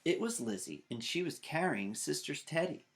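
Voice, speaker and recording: A man's voice: fairly high pitch, very animated, normal speed. A man, reading aloud to children. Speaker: storytime reader. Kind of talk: animated children's read-aloud. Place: small child's bedroom indoors.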